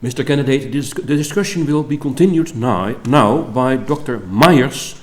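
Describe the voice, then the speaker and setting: A man's voice: medium pitch, a little slow. A man chairing an academic ceremony, speaking formally and courteously in English.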